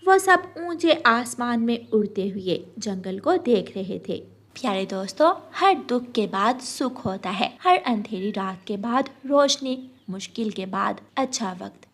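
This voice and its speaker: Female storyteller for children's narration: high-pitched, unhurried, animated intonation, scripted read.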